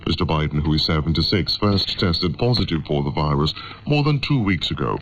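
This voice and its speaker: A man's voice: a bass voice, expressive, brisk, background noise. A man reading a scripted news bulletin as newsreader, heard over shortwave radio. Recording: dull shortwave radio broadcast.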